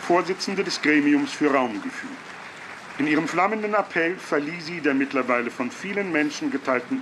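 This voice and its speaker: A man's voice: a little high, close to an everyday voice. A man playing a news reporter who delivers formal, factual reports in a radio play. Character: male news reporter relaying committee speeches. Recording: faint crowd and noise behind.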